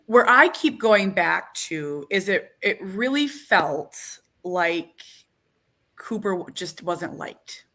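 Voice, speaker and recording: A woman hosts a relaxed interview with a bright, forceful tone. She speaks candidly and emotionally about her son, stopping and restarting as she searches for words. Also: medium pitch, slow pace. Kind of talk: casual conversational interview.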